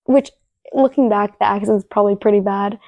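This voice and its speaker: A young woman, a native Spanish speaker talking in English, telling stories from her acting work in a relaxed interview with many restarts. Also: mid-range voice, a little fast, no room sound. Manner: storytelling, relaxed, frequent "like" and restarts. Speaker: actress, interview guest. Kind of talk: casual interview answers.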